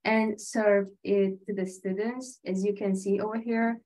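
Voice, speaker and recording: A woman's voice: medium pitch, little pitch movement, measured pace, a little mellow, low-quality recording. A woman from Tajikistan, sharing her teaching exchange experience in an earnest, conversational way with many fillers over a video call. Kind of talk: online panel presentation with slides.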